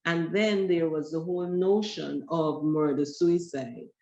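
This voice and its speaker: A woman researcher speaks slowly and deliberately, weighing each phrase as she presents. Her voice carries through an echoey room, and the audio is dull and lo-fi. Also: low-pitched, fairly even intonation, a little mellow. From Guyana. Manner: measured, deliberate. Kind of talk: academic webinar presentation.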